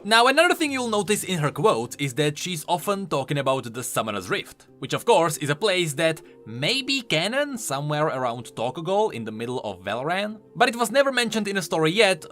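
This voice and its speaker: A man's voice: on the high side, very animated, a little fast, hardly any pauses, a bit bright, faint music behind. A man giving scripted, explanatory video commentary on game lore, stressing key words emphatically.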